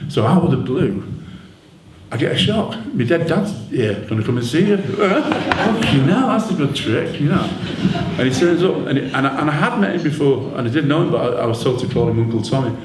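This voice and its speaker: A man's voice: fairly high pitch, animated, a little fast. A middle-aged man with a Manchester accent telling personal stories to an audience in a candid, rambling, colloquial way. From Manchester, England. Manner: candid, rambling, colloquial, frequent "you know". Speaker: author and musician interviewed at his book launch. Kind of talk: informal personal storytelling to an audience. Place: indoor event hall, on stage. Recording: roomy live venue with background noise.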